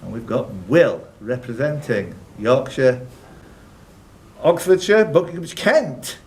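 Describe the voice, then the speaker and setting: Male quiz host and question reader, medium pitch, bantering and teasing with players. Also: sing-song, slow, a little mellow. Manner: jokey, teasing asides to contestants. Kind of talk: live quiz hosting, reading questions with banter.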